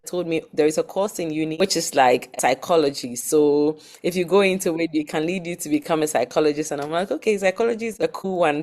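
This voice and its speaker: A woman in her thirties with a Ghanaian accent, a podcast guest telling her own story casually. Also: deep voice. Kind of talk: casual personal storytelling in an interview.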